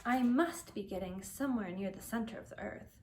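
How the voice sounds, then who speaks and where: A woman's voice: a little high, a little fast, a little hiss or hum, close to an everyday voice. A woman voicing a curious, chatty little girl who wonders aloud to herself in an earnest way, in a storybook reading. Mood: wondering.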